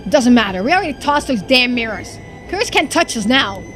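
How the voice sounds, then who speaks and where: A woman's voice: on the high side, sing-song, moderate pace, a bit bright, faint music behind, pitched below the performer's own voice. A woman voicing a tough, blunt, protective young character in a visual novel read-through. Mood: defiant, protective.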